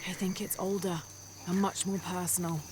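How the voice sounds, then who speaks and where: A woman playing a determined, troubled young female adventurer in a video game cutscene, speaking earnestly and with measured thought. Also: fairly low pitch, level tone, background noise, close to an everyday voice. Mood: pensive. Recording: game cutscene with music behind.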